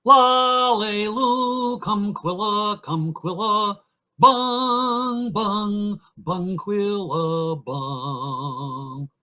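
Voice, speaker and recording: A silly storybook goose singing through a closed mouth. The sound is high and muffled, with long, slowly stretched notes. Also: male voice, bright, forceful tone, faint music behind, full character voice. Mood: silly singsong.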